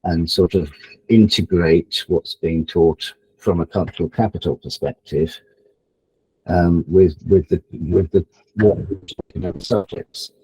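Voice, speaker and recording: A man speaking informally at an online event for teachers, halting and repeating phrases as he thinks aloud. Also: low-pitched, mellow, slightly rough, no echo, rough recording. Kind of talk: informal presentation, advice to teachers. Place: online event, close dry sound.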